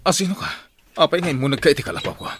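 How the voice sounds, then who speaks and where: An actor with an Ilocano Filipino accent playing an earnest, emotional friend who pleads with a fugitive in a radio drama. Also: male voice, fairly high pitch, very fast, heavy background noise, close to an everyday voice. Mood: alarmed. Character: earnest male friend urging a fugitive to surrender and repent. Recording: old AM radio drama, music underneath. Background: dramatic music.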